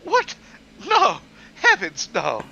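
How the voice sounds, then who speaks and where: A very high, shrill teenage girl, played by a man, indignant and dramatic as in a campy horror story. The delivery is exaggerated and bright, on a slightly muffled home recording. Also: huge pitch swings, slow, vocal fry, a little hiss or hum, heavily acted. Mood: shocked, dramatic.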